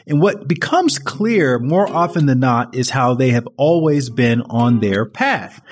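A man hosting his own podcast, giving an earnest, reflective introduction before an interview. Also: a little high. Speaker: podcast host.

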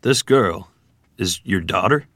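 A man playing a steely, terse middle-aged rural ferryman and card player in a table-read drama, speaking deliberately and plainly. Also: low-pitched, lively intonation, a bit bright, dry recording, clean studio sound, exaggerated voice. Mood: steely, challenging. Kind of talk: table-read screenplay acting.

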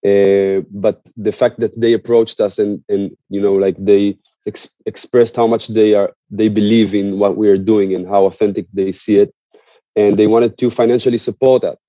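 A man speaking as an interview guest, explaining in an earnest, conversational way with halting, repeated words. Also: fairly low pitch, little pitch movement, dark tone, no room sound, muffled recording. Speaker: interview guest, musician and activist.

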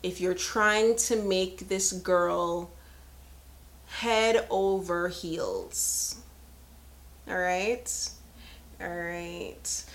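Frank, unhurried woman in her thirties. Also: lowish voice, fairly even intonation. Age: 30s. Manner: frank, chatty, laughs often. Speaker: podcast host. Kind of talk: casual live-stream chat.

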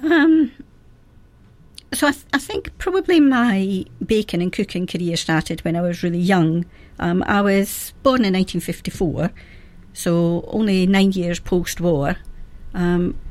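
A woman in her seventies with a soft Scottish Fife accent, chatting as a guest on local radio. Her voice sits a little low, and she pauses often with small ums and laughs between her thoughts. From Scotland. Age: 70s. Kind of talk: radio interview.

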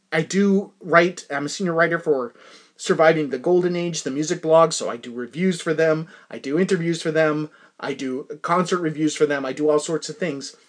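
Male podcast host, high-pitched voice, casual rambling solo talk, animated delivery with repeated words for comic emphasis.

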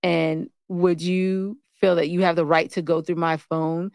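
Gravelly-edged woman, playfully argumentative. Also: lowish voice, flat delivery, a little slow, a little mellow, no echo, studio quality. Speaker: co-host of a couple's relationship show. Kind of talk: casual, teasing relationship banter.

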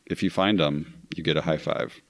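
Very deep-voiced, easygoing male guest. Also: steady tone, moderate pace, no echo, rough recording. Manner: enthusiastic, easygoing storyteller. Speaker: podcast guest. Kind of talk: casual podcast chat.

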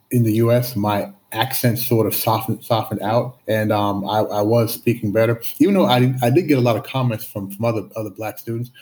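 A man's voice: medium pitch, mellow, poor audio. A middle-aged man from Canada with a slight lisp, telling his life story as an interview guest, reflective and often restarting his words. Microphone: video call.

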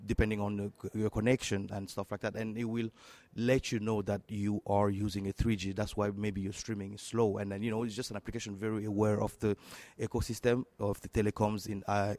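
A man with a French accent, a native French speaker, answering as an interview guest in a halting way, repeating words as he searches for phrasing. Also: fairly low pitch, a little mellow, no echo. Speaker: interview guest, tech entrepreneur.

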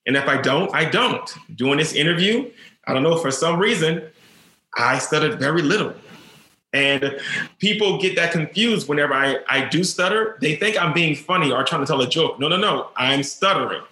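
Teacher, male, stutters and repeats words, earnest, bright tone in a roomy space. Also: fairly high pitch, expressive, moderate pace. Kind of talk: personal storytelling interview.

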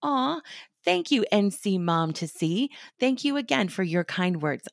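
A woman's voice: fairly low pitch, dry recording, clean studio sound. Upbeat woman host, slightly scratchy. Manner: upbeat, friendly, chatty. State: a little scratchy after a cold. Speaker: podcast host, stylist. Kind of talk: scripted podcast talk.

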